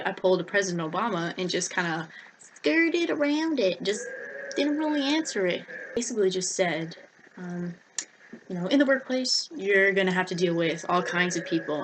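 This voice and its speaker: A woman's voice: lowish voice, a bit bright, low-quality recording. A young American woman giving casual vlog advice in a chatty, informal way, often repeating words for emphasis. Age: young adult. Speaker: vlogger. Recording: noticeable background noise.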